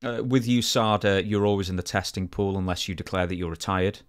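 A man's voice: sits low, level tone, a little fast, clear, resonant tone. A man co-hosting a podcast, talking casually through sports news and explaining it. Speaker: podcast co-host.

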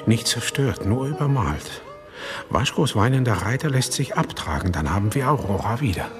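A man's voice: mid-range voice, sing-song, moderate pace, lightly acted. An actor playing a determined, courteous male outsider in a radio drama. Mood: insistent.

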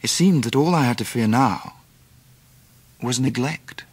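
An actor playing a grown Englishman recalling his boyhood in measured, wry radio drama narration. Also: mid-range voice, slightly rough, no room sound. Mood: wry, reflective. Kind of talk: scripted first-person drama narration.

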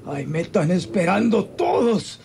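Radionovela role: dying-sounding old Mexican patriarch, very high, wailing, melodramatic, delirious. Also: male voice, huge pitch swings, unhurried, vocal fry, a crowd in the background, exaggerated voice. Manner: melodramatic, moaning, rambling. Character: delirious feverish old ranch patriarch, anguished, defiant father. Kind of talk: old Spanish-language radionovela acting. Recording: noisy old radio drama recording.

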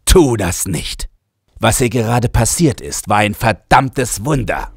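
A man performs an intense, exasperated hitman in a comic audio play. He is indignant and sweary, his pitch swinging widely as he preaches. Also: lively intonation, slow, creaky, breathy edge, no room sound, heavily acted. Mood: fervent.

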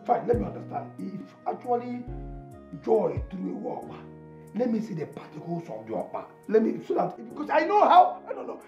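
An actor with a Nigerian accent playing an excitable, fickle friend in a comic film, exclaiming, repeating himself and stammering. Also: male voice, sits high, creaky, echoey room, highs cut off, exaggerated voice. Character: excitable, food-loving male friend, comically fickle peacemaker. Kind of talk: broad comic film acting.

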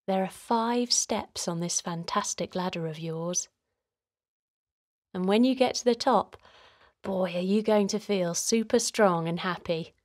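A woman gently guiding a children's relaxation in a soothing, encouraging way, her voice softly breathy and hushed at times. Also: mid-range voice, a little slow, no room sound, clean studio sound. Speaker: host of children's guided relaxation. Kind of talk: guided meditation narration for children.

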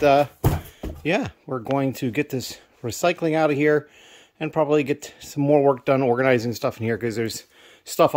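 A man talking casually to his camera as he unpacks boxes, thinking aloud with lots of fillers and restarts. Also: mid-range voice. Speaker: vlogger, toy brick reseller. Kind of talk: casual vlog narration. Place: cluttered basement storage room, indoors.

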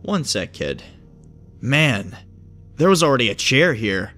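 A man's voice: medium pitch, sing-song, measured pace, pushed and bright, soft background music. An actor playing a bored, easygoing male minder with an offhand, rambling manner in a horror audio drama. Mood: grumbling, offhand. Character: bored, flippant male babysitter-bodyguard, easygoing oddball.

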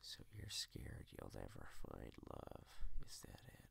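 A man whispering softly in a reassuring ASMR roleplay, close to the mic, with hesitant pauses. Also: very deep voice, huge pitch swings. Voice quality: breathy whisper.

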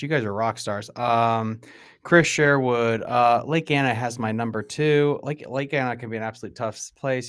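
A man's voice: mid-range voice, a bit bright. A man in his thirties hosting a casual podcast, speaking bluntly and informally with emphasis, close on a podcast microphone. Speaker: podcast host. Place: indoor room at a desk, on a video call. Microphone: close boom-arm podcast microphone.

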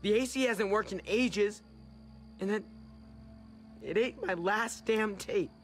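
Moody teenage boy for a zombie game, high voice, sulky, quiet music behind. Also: takes it slowly. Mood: sullen, frustrated. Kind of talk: video game voice acting. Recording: faint music underneath.

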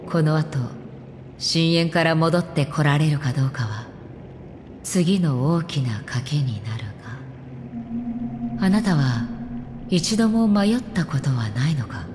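A woman playing a solemn young swordswoman in an anime game, cool, calm and blunt in measured phrases. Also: low voice, background noise. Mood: solemn, quiet. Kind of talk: scripted anime game voice acting. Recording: long reverb under music. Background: background music.

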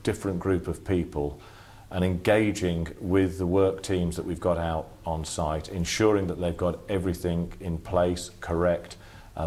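A man in his forties speaking in a steady, businesslike way in a corporate interview. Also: deep voice. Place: indoor office with display boards.

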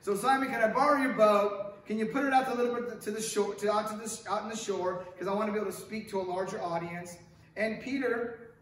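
High-voiced preacher in a very echoey church. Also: male voice, fairly quick. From Hollywood, California. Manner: animated, wide hand gestures, asks the congregation questions. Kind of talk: conversational sermon. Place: church sanctuary with stone wall, at a lectern. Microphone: stand mic at the lectern, camera far off. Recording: very echoey sanctuary from far off.

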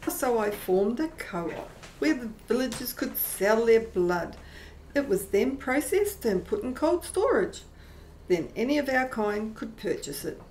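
A woman's voice: on the high side, big pitch swings, a little slow, darkish tone, low-quality recording. A woman playing a friendly, chatty, motherly vampire countess in an amateur radio play. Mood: proudly explaining. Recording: faint background noise.

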